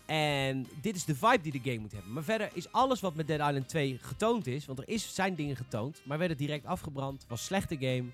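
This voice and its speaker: A man's voice: fairly high pitch, animated, brisk, hardly any pauses, soft background music. A man hosting a casual gaming podcast, speaking informally and bluntly with strong opinions. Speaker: podcast host leading the discussion.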